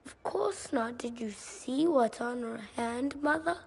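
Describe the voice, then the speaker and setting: An actor voicing a curious, quiet young boy in a video game cutscene, speaking softly and in a near whisper. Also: very high voice, measured pace, creaky, slightly rough, heavily acted. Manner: soft, whispery and hushed.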